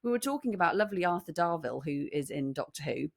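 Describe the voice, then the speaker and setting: A British woman co-hosting a podcast in casual chat, telling stories in an animated, teasing way. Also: fairly low pitch, fast, gravelly. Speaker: podcast co-host. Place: room in central London.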